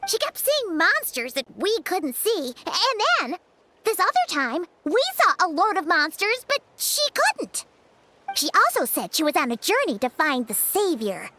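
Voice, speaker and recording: A woman voicing a small, excitable fairy companion in a video game, childlike, perky and chatty. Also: measured pace, slight background noise, exaggerated voice. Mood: eager, chatty. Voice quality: childlike, squeaky. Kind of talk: English video game voice acting. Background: background music.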